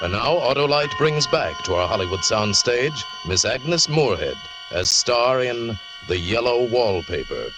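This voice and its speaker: A man delivers a dramatic introduction in the manner of a classic American radio announcer. Music plays clearly behind his voice. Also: mid-range voice, sing-song, measured pace, few pauses, rough recording.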